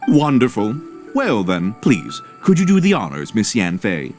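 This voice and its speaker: Indignant merchant, theatrical, polished phrasing, very animated pitch, video game cutscene. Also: male voice, a little slow, faint music behind. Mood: smooth, expectant. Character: indignant, slippery male merchant arguing his case.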